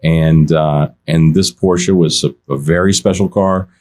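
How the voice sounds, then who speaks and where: A man who works in car auctions, telling stories in a relaxed podcast chat, often restarting his sentences and quoting other people. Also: very deep voice, measured pace. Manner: animated anecdotes, many restarts, quotes others. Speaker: auction insider guest. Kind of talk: casual podcast storytelling.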